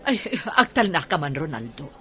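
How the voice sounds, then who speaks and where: A woman's voice: sits low, very animated, normal speed, bright, forceful tone, heavily acted. A woman acting a shy, easily teased middle-aged single woman in an Ilocano radio drama. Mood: flustered.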